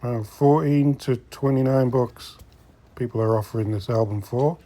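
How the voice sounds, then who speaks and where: A man's voice: medium pitch, normal speed, warm tone. A middle-aged man giving casual, chatty commentary to viewers while browsing in a shop, sometimes muttering to himself. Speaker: host of a vintage collecting vlog. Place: inside a secondhand shop.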